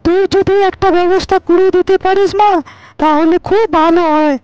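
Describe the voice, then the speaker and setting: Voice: extremely high pitch, steady tone, moderate pace, darkish tone, no room sound, dull audio. A performer voicing a humble, worried older village uncle in a cartoon, pleading deferentially. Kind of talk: Bengali cartoon character dialogue.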